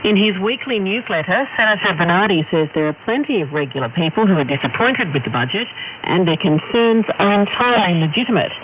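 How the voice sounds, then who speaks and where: A man's voice: high voice, lively intonation, brisk. A man with an Australian accent reading a scripted radio news bulletin over noisy shortwave radio. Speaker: news reader. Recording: noisy narrow-band shortwave radio reception.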